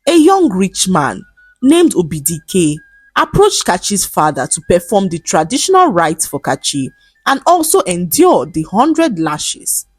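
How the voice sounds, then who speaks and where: A woman storyteller reading a scripted folktale in a measured, dramatic storybook manner. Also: lowish voice, wildly swooping pitch, a little mellow, breathy edge, no room sound, faint music behind.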